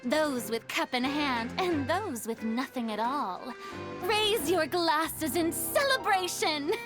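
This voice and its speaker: A grandiose, theatrical young ruler holds court in a high, swooping voice with slow, stately pacing. The game score plays clearly beneath her. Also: lively intonation. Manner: grand, theatrical public address. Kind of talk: video game cutscene dialogue. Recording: game audio with clear background music.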